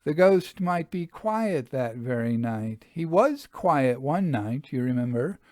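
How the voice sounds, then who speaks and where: A man's voice: mid-range voice, expressive, takes it slowly, a little mellow, no echo, studio quality. A man voicing a firm, fussy Victorian farmer's wife in a storybook reading, insistent yet polite. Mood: firm, apologetic.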